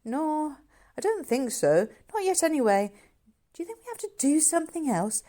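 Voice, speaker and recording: A woman's voice: high-pitched, big pitch swings, moderate pace, mellow, pitched above the performer's own voice. A woman voicing an earnest boy who takes charge of a mystery with grown-up airs. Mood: curious. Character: eager, knowing boy taking charge of a mystery.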